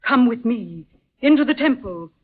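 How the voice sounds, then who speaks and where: A woman's voice: on the high side, expressive, moderate pace, close to an everyday voice. An actress playing a solemn, regal ancient spirit empress in a young woman's body, declaiming formally in old-time radio drama style. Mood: grave, urgent. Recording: muffled, narrow-band vintage radio recording.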